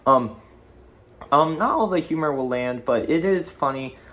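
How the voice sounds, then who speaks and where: A man's voice: on the high side, a little slow, fairly bright tone. A fifteen-year-old boy casually hosting a video in a rambling way, often correcting himself. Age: teens, fifteen. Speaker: video blogger, host. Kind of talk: casual chat ranking video game movies.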